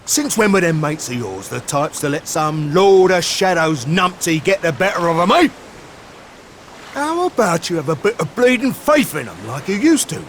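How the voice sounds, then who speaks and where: An actor voicing a gruff, hearty sailor in a video game cutscene, blunt and full of coarse slang. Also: male voice, high voice, a bit bright, slight background noise, heavily acted. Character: gruff salt-of-the-earth male sailor, adult.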